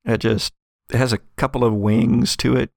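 An American man improvising descriptive narration as game master of a tabletop story, in a halting delivery. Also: mid-range voice, flat delivery, vocal fry, no echo, clean studio sound. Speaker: game master of an actual-play audio drama.

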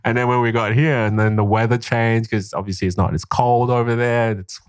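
A man's voice: mid-range voice, level tone, a little fast, clear, resonant tone, no echo, studio quality. A young man from Liberia, raised in Guinea, telling stories in a rambling, casual podcast chat with lots of fillers. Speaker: podcast guest.

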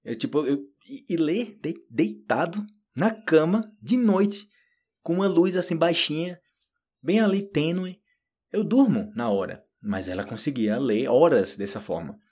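Brazilian guy teaching portuguese, kinda husky voice, chatty, repeats stuff slow. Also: fairly high pitch, expressive, darkish tone. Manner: chatty, repeats phrases slowly to demonstrate. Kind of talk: casual spoken lesson.

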